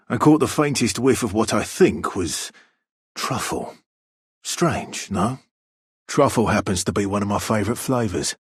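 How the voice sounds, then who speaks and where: A man voicing a refined, old-fashioned wooden male object character in a video game, with a husky voice. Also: fairly low pitch, no room sound, studio quality, exaggerated voice.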